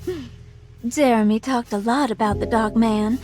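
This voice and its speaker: An actress playing a flirtatious, decadent 1920s socialite in a video game, languid, teasing and theatrical. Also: female voice, fairly high pitch, normal speed, close to an everyday voice. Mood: sly, amused. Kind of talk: video game cutscene acting with period slang. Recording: background music behind the voice.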